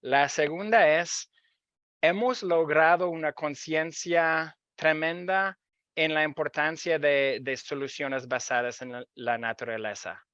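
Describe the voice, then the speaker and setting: A man with a Canadian accent speaking as a webinar panelist, animated and emphatic, often searching for words. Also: on the high side, takes it slowly, clean tone, no echo, lo-fi sound.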